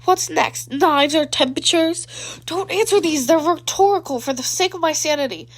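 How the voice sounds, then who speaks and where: Sarcastic worrier teen played by a woman, high and scolding, incredulous, for audio fan fiction. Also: normal speed. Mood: exasperated. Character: exasperated, sarcastic, worrying teenage friend.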